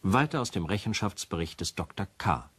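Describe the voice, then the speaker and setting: An actor playing a sober investigator who narrates a radio play in a formal, precise, matter-of-fact way. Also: male voice, deep voice, lively intonation, fairly quick, fairly bright tone, breathy edge, no echo, full character voice. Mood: sober, factual. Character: sober male investigator reporting case evidence.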